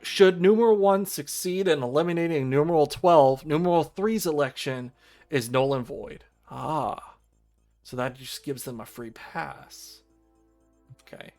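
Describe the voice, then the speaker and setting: A man streaming a visual novel, reading the game text aloud in a formal, measured storytelling style. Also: on the high side, a little mellow. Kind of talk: dramatic read-aloud narration of game text. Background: video game music.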